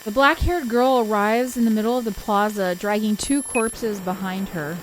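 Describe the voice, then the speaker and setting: A woman's voice: medium pitch, moderate pace, a little hiss or hum, poor audio. A woman streaming a visual novel, reading it aloud in a casual voice and chatting with informal, giggly asides. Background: game soundtrack music.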